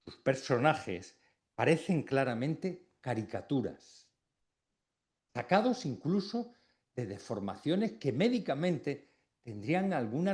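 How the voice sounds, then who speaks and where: Middle-aged man from Extremadura, formal lecture delivery, reflective and paused, very lively pitch. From Extremadura, Spain. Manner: reflective, with pauses between phrases. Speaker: academic giving an induction speech. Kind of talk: formal academic speech.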